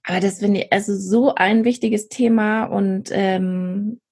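A woman's voice: medium pitch, steady tone, a little slow, darkish tone, husky. A woman co-hosting a podcast, chatting casually about books and hesitating often with fillers and restarts. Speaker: podcast co-host. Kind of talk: casual book chat.

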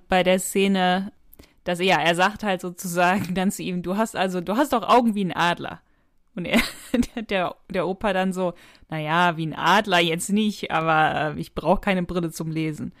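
A woman's voice: on the low side, fairly even intonation, moderate pace, dry recording, clean studio sound. A woman co-hosting a podcast, talking in a casual, giggly and loosely phrased conversational way. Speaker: podcast co-host.

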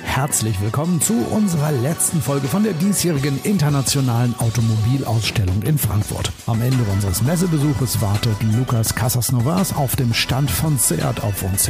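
A man hosting a car podcast, with friendly intro and outro lines spoken straight to the listener. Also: medium pitch. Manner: friendly, direct address to listener. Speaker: podcast host. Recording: music bed under voice.

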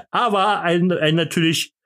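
A man's voice: high-pitched, bright, forceful tone. A man with a Berlin accent hosting a podcast, joking around in boastful, mock-pompous banter full of fillers and restarts. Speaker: podcast host.

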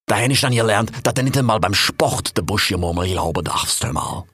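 A man doing a husky monkey king. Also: lowish voice, animated, a little fast, fairly bright tone, dry recording, studio quality. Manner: dialect-heavy rambling, says "hör mal" often. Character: jovial old male monkey king, blustery.

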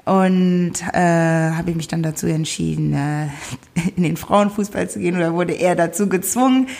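A German woman in her twenties, a football player interviewed as a guest, relaxed and hesitant, giggling with many fillers. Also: fairly low pitch, moderate pace, gravelly. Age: 20s. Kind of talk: casual interview.

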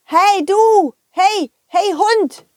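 A woman doing an excited, stammering little boy. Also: extremely high pitch, drawn-out words, no echo, clean studio sound, voice pushed up, heavily acted. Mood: eager, worried.

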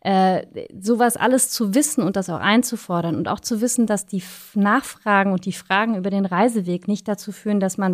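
A woman's voice: medium pitch, a little mellow, no echo, clean studio sound. A woman speaking as an expert guest in an interview, explaining things in an engaged, detailed way.

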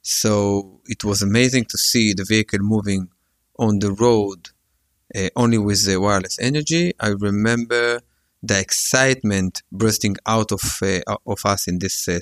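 A soft-spoken co-founder with a dry, close sound and a fairly low voice. He answers interview questions earnestly and hesitantly, filling the gaps with many fillers. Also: no room sound. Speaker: company co-founder.

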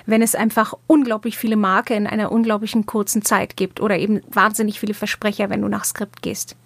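A woman's voice: medium pitch, flat delivery, a little fast, creaky. A woman hosting a casual interview, putting questions to her guest in a conversational way. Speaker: interviewer, host.

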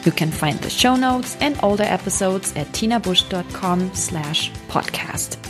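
A woman with a German accent talks through a solo podcast episode in English. Her voice sits at an ordinary middle pitch. She speaks in a careful, measured way, giving each word room. Faint music plays beneath her. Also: lively intonation. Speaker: podcast host and English coach.

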